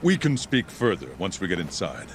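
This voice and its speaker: A man playing a scheming chief prosecutor in a video game, formal, deliberate and smugly polite. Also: low-pitched, wildly swooping pitch, a little hiss or hum, exaggerated voice. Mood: courteous. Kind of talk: English video game cutscene acting.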